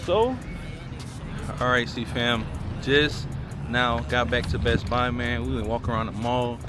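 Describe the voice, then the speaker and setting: A man's voice: mid-range voice, measured pace, music in the background. A man chatting casually to viewers as a vlogger, in a laid-back, slangy way with short repeated phrases. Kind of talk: casual car vlog chat.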